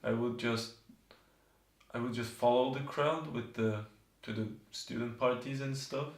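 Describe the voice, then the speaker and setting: A man's voice: medium pitch, fairly even intonation, a little slow, reverberant. Young man with a Turkish accent. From southern Turkey. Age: 20s. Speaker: student, documentary subject. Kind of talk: reflective personal interview.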